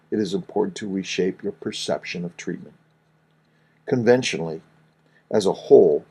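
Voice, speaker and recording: A middle-aged man reads his own book aloud in a deep, husky voice. He speaks slowly and earnestly, in a soothing way. Each phrase sinks gently at its close. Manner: slow, soothing, earnest delivery with falling phrase endings. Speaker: fertility doctor reading his book.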